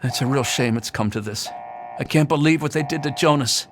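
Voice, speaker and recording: A man's voice: medium pitch, a little fast, natural voice. Man acting a hesitant guard, very noisy background. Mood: uneasy, sympathetic. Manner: hesitant, earnest. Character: reluctant, kindly male security guard. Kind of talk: video game character acting.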